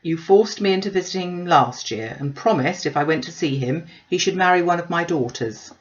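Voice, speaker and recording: A woman voicing a wry, grumbling older gentleman father in a classic novel, sardonic and emphatic. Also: fairly low pitch, lively intonation, fairly quick, dull audio, lightly acted. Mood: stubborn refusal. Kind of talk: classic novel read-aloud.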